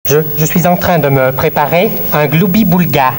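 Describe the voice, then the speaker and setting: A man's voice: fairly high pitch, moderate pace, lightly acted. A man puts on a friendly dinosaur puppet for children's television. He stammers with childlike enthusiasm, his voice ringing in long reverb over slight noise. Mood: enthusiastic surprise. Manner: stammering, exclamatory. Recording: very reverberant, faint background noise.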